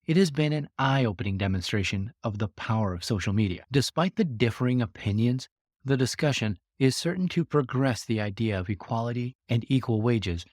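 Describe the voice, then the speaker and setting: A man reading a formal text aloud in a calm, confident way, measured and stressing key words. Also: medium pitch, no echo, studio quality. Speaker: sample test-response reader.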